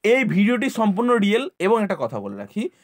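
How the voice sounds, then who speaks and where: A man's voice: high-pitched, wildly swooping pitch, a little fast, no echo, clean studio sound. A man with a West Bengal Bengali accent presenting casually to students, insistently urging them on and repeating phrases for emphasis. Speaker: YouTube presenter and tutor.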